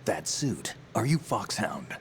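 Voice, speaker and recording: A man playing a gruff, cynical veteran soldier in a video game, with a gravelly voice. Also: on the low side, big pitch swings, slow, a little hiss or hum. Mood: guarded, probing. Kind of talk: video game cutscene acting. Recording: music behind the voice.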